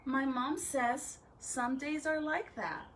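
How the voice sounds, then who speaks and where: Lady teacher doing storytime, really high lively voice, takes it slow and dramatic, some noise behind her. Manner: animated, deliberate, dramatic phrasing with drawn-out words. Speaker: teacher reading a picture book to students. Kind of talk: expressive read-aloud storytelling for children with chatty asides.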